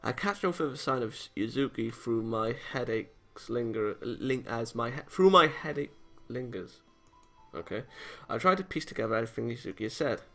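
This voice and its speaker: Gravelly, bright-voiced man reading aloud. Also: mid-range voice, expressive, moderate pace. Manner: stumbles over and repeats read lines. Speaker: let's play host. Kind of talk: reading a visual novel aloud with casual commentary. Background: game music.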